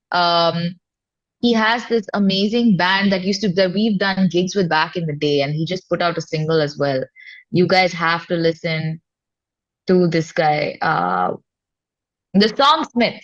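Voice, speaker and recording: A woman's voice: lowish voice, no echo, highs cut off. A woman with an Indian accent chatting candidly and informally as an interview guest, with emphatic phrasing. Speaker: interview guest, indie singer-songwriter. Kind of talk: casual chat.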